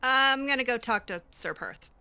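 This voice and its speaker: Bright, high-voiced woman musing over a game. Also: lively intonation, moderate pace, smooth. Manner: hesitant, musing aloud to herself. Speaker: gamer playing a role-play character. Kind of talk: in-character game playthrough commentary.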